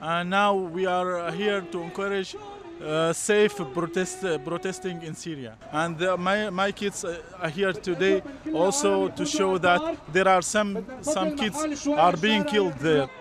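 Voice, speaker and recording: A man at a street protest with his kids, speaking in a measured way with pauses. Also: high-pitched. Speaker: protester and father.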